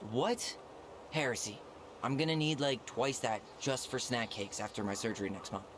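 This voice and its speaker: An actor playing an easygoing young American man in a video game, speaking casually and with gentle, understated humor. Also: mid-range voice, lively intonation, moderate pace, noisy background, natural voice. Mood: joking, warm. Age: young adult. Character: easygoing young man, twin brother leaving his childhood home. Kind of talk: naturalistic video game dialogue.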